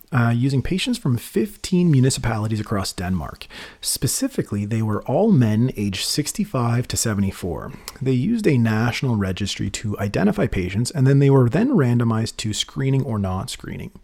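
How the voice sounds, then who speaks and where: Man, physician, husky, lively intonation, casual explanatory podcast talk. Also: mid-range voice, moderate pace. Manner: lively, explanatory, many you-knows. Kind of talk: casual medical journal discussion.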